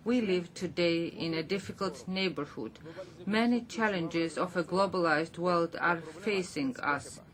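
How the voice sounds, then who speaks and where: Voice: mid-range voice, unhurried, few pauses, a bit bright. An interpreter voicing a formal speech into English, hesitant and full of fillers and restarts. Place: assembly chamber.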